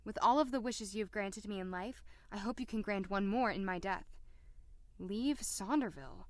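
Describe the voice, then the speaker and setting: A woman's voice: mid-range voice, normal speed, dry recording, natural voice. A woman playing a determined, sly female doctor in an audio drama, polite but pointed. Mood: sombre reading.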